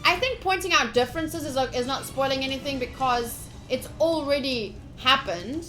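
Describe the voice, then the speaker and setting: A woman's voice: high-pitched, normal speed, pushed and bright, soft background music, rough recording. A woman streamer reading a visual novel aloud and chatting to viewers, moving between dramatic narration and casual asides. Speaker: game streamer. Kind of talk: live visual novel playthrough, reading aloud and commenting to chat.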